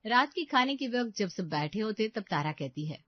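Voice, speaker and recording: A woman's voice: mid-range voice, very animated, moderate pace, no room sound, lo-fi sound. A woman giving a scripted narration of a story.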